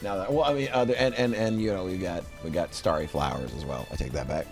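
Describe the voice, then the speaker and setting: A man's voice: low-pitched, fast talker, gravelly, over music. A middle-aged man chatting casually to a livestream, rambling playfully and often repeating himself. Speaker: streamer presenting a tier list. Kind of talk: casual livestream chat and commentary.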